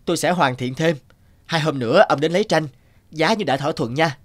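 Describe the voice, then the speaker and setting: Man acting a proud, high-pitched earnest painter. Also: a little fast, close to an everyday voice. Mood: polite. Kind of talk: animated moral tale dubbing.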